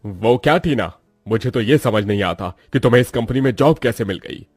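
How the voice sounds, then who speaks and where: A stern but fair company chairman from a cartoon morality tale, acted by a man. His pitch is average and his manner formal and commanding. The voice is recorded dry and close. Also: expressive, fairly quick, husky, dry recording, faint music behind. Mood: stern, demanding. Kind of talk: scripted cartoon moral story acting.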